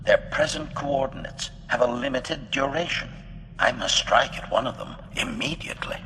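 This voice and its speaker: A man's voice: mid-range voice, expressive, normal speed, bright, forceful tone, long reverb tail, slight background noise. A man voicing a servile, smugly precise, gleefully murderous assassin droid in a video game, speaking in formal, clipped announcements through a robotic filter. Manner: formal, clipped, announces each statement with a label word. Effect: metallic robot voice filter. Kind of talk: video game character dialogue.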